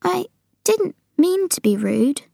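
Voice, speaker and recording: Lady doing a little girl for a kids story, really high pitched, curious n polite, asks questions. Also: very animated, slow, gravelly, warm tone, no room sound, studio quality, heavily acted.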